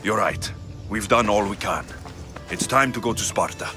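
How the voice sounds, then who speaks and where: An actor playing a bold, confident young Greek mercenary in a video game, speaking assertively and dramatically. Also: male voice, fairly low pitch, moderate pace, sharp tone, faint music behind. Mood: urgent, determined. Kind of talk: video game cutscene acting.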